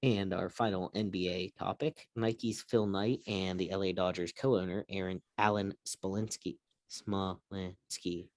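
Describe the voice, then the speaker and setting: A man's voice: sits low, steady tone, measured pace, no room sound. A man moderating a casual sports talk show, introducing topics and chatting with his co-hosts.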